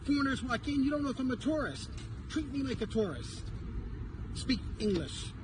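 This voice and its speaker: A man's voice: extremely high pitch, very animated, moderate pace, background noise. A man living abroad ranting with irritation to the camera. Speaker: foreign resident in Japan. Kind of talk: complaining clip to camera.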